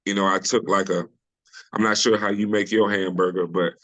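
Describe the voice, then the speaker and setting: A middle-aged comedian with a deep, low-set voice chats over a video call. His tone is forceful and bright, and he talks in a loose, storytelling way. He often pauses with "you know" and restarts his thoughts. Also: little pitch movement. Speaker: comedian guest. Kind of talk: casual interview chat.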